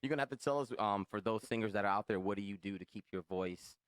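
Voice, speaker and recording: A man's voice: fairly low pitch, quick pace, clean tone, no echo, studio quality. An American man hosting a podcast, chatting casually as he interviews and repeating words as he thinks aloud. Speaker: podcast host.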